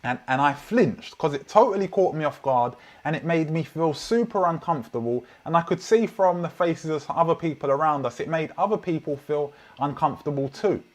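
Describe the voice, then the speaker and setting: A man's voice: a little high, lively intonation, a little fast, hardly any pauses. A young man with a London accent preaching a sermon, telling personal stories in an earnest, reflective way. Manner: earnest, reflective storytelling with frequent restarts. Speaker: pastor.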